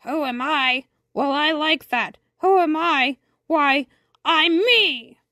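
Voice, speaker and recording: A woman voicing a cross, bossy old horseshoe crab commander in a storybook, with a scratchy voice and drawn-out military commands. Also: sits high, sharp tone, dry recording, studio quality, pitched above the performer's own voice, exaggerated voice. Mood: indignant. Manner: barking, slow drawn-out commands.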